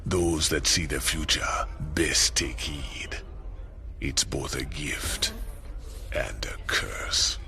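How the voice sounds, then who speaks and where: An actor playing a world-weary, cryptic mystic man in a video game, musing slowly and philosophically in a deep voice. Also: fairly even intonation, pushed and bright, heavily acted. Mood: musing, ominous. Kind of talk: dramatic video game voice acting. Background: faint music.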